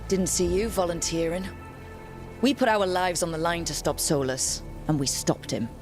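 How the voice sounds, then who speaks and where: A woman's voice: lowish voice, moderate pace, close to an everyday voice. A woman playing a resolute adventurer who leads a fantasy party in a video game, speaking firmly and decisively. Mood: defiant. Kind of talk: video game cutscene acting. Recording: loud music behind the voice.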